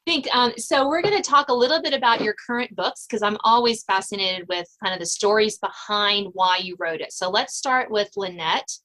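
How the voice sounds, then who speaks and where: A woman's voice: mid-range voice, fairly quick, hardly any pauses, bright, forceful tone. A woman hosting a podcast, introducing and interviewing guests in a friendly conversational style.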